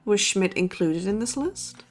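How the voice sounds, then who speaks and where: A young female college student co-hosting a true-crime podcast in an audio drama. She is skeptical and wry, speaking conversationally at a medium pitch. Soft music plays behind her. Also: expressive, unhurried.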